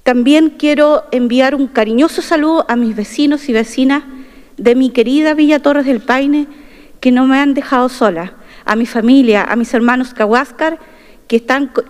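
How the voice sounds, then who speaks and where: A middle-aged woman reads a formal speech into a desk microphone, her tone earnest and proud. Her voice sits high. It carries a long reverb from the large wood-panelled chamber around her. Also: normal speed, a little mellow. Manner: earnest and proud, stressing key phrases. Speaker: constitutional convention delegate. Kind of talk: formal opening speech, partly read aloud. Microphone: desk microphone at a podium.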